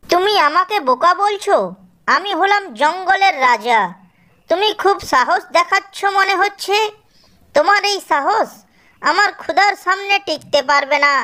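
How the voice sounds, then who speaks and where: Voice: extremely high pitch, moderate pace, sharp tone, voice pushed up. A performer voicing a hungry, foolish cartoon fox who boasts and makes threats. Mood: menacing, boastful. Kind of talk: children's cartoon character voice.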